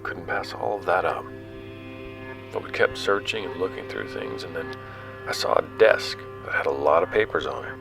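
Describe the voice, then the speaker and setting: Sci-fi audio drama narrator: male actor as self-deprecating explorer, very low register, conversational storytelling over soft music. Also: very animated, normal speed, vocal fry, exaggerated voice. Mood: hushed wonder. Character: young male explorer recounting his expedition, awed and casual. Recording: music under the voice.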